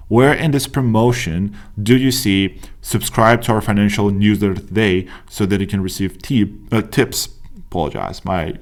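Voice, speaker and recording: A young man with a Romanian accent, teaching on a screen share and stressing his points with frequent "right?" and "like". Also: lowish voice. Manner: emphatic, repeats "right?" and "like", quick asides. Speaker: business coach. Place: indoor room at a desk.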